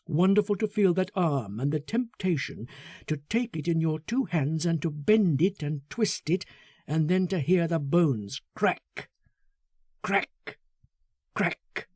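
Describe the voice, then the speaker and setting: Husky, expressive male audiobook narrator. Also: fairly high pitch, slow, dry recording, studio quality. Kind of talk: dramatic scripted narration of a literary story.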